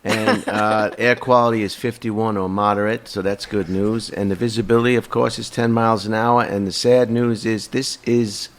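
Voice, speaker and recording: Low-voiced man hosting casual chat. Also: little pitch movement, moderate pace, hardly any pauses. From Long Island, New York. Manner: rambling, stumbles over words. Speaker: podcast host.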